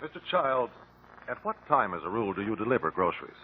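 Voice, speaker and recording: An actor playing a calm, shrewd male investigator in a 1950s radio drama, questioning in a measured, precise way. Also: on the low side, slight background noise. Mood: probing, polite. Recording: muffled lo-fi 1950 radio broadcast.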